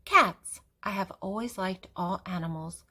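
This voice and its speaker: Slow-paced older woman reading to children. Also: fairly low pitch. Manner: expressive, deliberate, emphatic on key words. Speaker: children's story reader and channel host. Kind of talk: read-aloud picture book narration for children.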